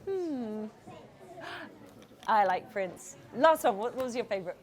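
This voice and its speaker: A woman children's author reading her story aloud to young listeners in a playful, expressive style. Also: on the high side, measured pace. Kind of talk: animated storytelling to a live audience of children.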